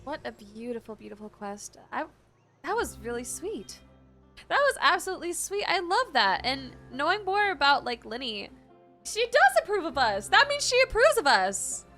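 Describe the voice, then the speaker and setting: A woman's voice: sits high, big pitch swings, normal speed, pushed and bright, soft background music. A woman streamer giving casual, gushing reaction commentary on a game, repeating words for emphasis. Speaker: gaming streamer.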